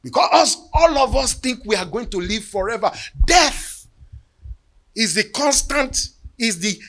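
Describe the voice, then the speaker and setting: A man, preaching a sermon and telling a personal testimony in an earnest storytelling way that often sinks to hushed tones. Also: very high voice, expressive, unhurried. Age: 50s. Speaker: bishop.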